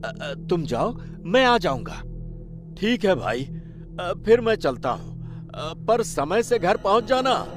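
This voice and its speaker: A man acts as a timid village farmer in a cartoon folk tale. His voice is high and swoops expressively, in slow, hesitant, exaggerated phrases over loud music. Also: sing-song, full character voice. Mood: concerned, tired. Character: timid, plain-spoken male village farmer. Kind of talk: cartoon story dubbing.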